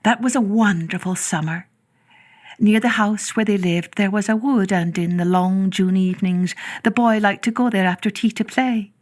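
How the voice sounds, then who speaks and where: A woman reads a children's storybook aloud at a medium pitch. Her telling is gentle and expressive, with phrases that sometimes stretch out or fall to a hush. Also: normal speed. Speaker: children's story podcast narrator. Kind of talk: scripted storybook narration.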